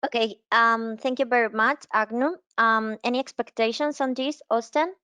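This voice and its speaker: A woman's voice: a little high, fairly even intonation, normal speed, sharp tone, smooth, no echo. A woman hosting a panel on a conference call, politely handing questions to the speakers. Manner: polite, deferential. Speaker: roundtable host, moderator. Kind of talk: moderating a panel discussion.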